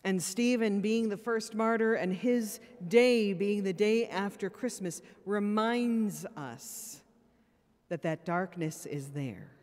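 A woman priest preaches at a pulpit microphone in a church, her words trailing into a long hall reverb. She talks in an earnest, plain-spoken way, drops in wry asides, and sounds a little tired. Her pace is slow and unhurried. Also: medium pitch, a little mellow. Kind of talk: sermon.

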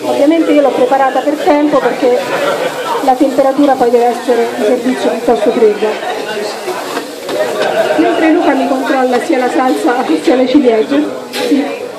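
A woman's voice: high-pitched, normal speed, long pauses, rough recording. A woman chef from Rome in her thirties, explaining each step of a dessert while cooking at a live demonstration on a handheld mic. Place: restaurant dining room with audience. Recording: chattering crowd behind.

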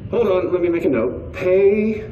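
Rambling, awkward officer over echoey intercom. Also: male voice, high-pitched, lively intonation, normal speed, distant mic, highs cut off. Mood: rambling, chatty. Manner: rambling, self-correcting, earnest. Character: chatty, awkward, nervous male security officer fond of his cat. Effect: intercom speaker. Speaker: game character on an intercom. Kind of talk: video game voice acting, rambling monologue. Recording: echoey intercom, noisy game ambience.

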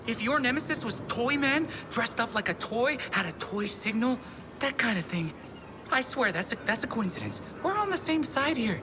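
Nervous rambling game sidekick, highish voice, noisy background. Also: female voice, sing-song. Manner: nervous, babbling, halting. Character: nervous, rambling young female tech ally. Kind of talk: video game character acting. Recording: loud ambient machinery rumble behind.

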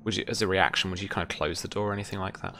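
A young man runs a tabletop roleplaying session. His voice sits very deep, with a crisp, forceful edge and plenty of fry. Music plays behind him. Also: fairly even intonation, very fast. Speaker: game master. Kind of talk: running a tabletop roleplaying game. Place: indoor room at home, video call. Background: background music.

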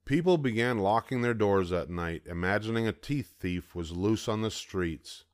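An older man reads a children's picture book aloud with steady, even narration. His voice sits on the low side. The recording is close and dry, with no room sound. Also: normal speed, no room sound. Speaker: storybook reader.

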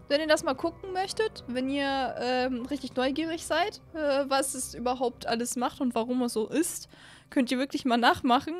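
A woman in her twenties giving casual, rambling let's-play commentary in an expressive way, close on a studio microphone. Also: high-pitched. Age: 20s. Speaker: host of a gaming channel. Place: small indoor streaming room at home. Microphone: close studio microphone on an arm.

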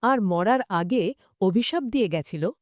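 A woman's voice: medium pitch, animated, a little slow, no echo, clean studio sound. A woman co-hosting a conversational story discussion, retelling events dramatically and asking inquisitive questions. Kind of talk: scripted-sounding conversational storytelling.

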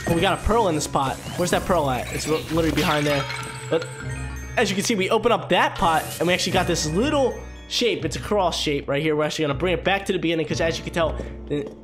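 A man's voice: on the high side, fast talker. A young man with a stuffy, congested voice, commentating his video game playthrough to viewers on a headset mic, hyped and chatty. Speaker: gaming channel host. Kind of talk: gameplay commentary. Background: game music.

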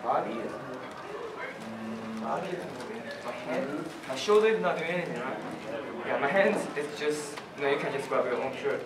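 A man's voice: mid-range voice, animated, normal speed, over a noisy crowd. A man coaching an elbow drill, counting the moves and showing the form. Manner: counts reps, demonstrates. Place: large carpeted event room indoors. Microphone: far camera. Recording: echoey room from far off, loud background noise.

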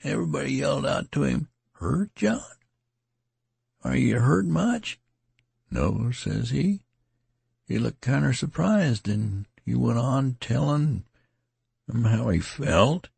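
A man's voice: medium pitch, expressive, takes it slowly, gravelly, pauses often, darkish tone, husky, no room sound, pitched above the performer's own voice, full character voice. A man voicing a wounded, ragged soldier who rambles on in a folksy country dialect. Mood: rambling, worried. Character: wounded ragged male soldier, garrulous and rustic.

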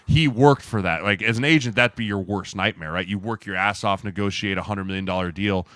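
A man's voice: fairly low pitch, fairly quick, a bit bright, no room sound. A man in casual sports podcast chat, explaining things by acting out quotes and often checking in with "right?". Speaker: podcast co-host, former player turned sports agent. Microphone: close podcast mic with headset.

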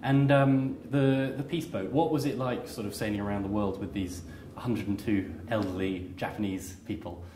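Echoey, low-voiced male interviewer. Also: steady tone, moderate pace, few pauses, dark tone. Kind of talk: casual interview questioning. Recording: echoey room, faint background noise.

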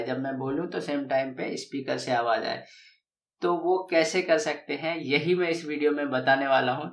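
A young man hosting a casual tech tutorial, explaining each step slowly and repeating key phrases as he sits at a desk with a lapel mic. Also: on the high side, vocal fry, reverberant. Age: young adult. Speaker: tech channel host. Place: small dim room indoors, seated at a desk. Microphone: lavalier clip mic.

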